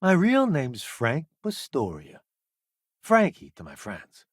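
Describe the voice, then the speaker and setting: Game companion: middle-aged male food critic and crewman, flippant and theatrical, higher-set voice with swooping intonation, dry close recording. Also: huge pitch swings, slow, dry recording, heavily acted. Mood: wry, opinionated. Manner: wry, flippant, theatrical, slangy. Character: jaded, showy middle-aged food critic turned hired space crewman. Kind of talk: video game companion dialogue.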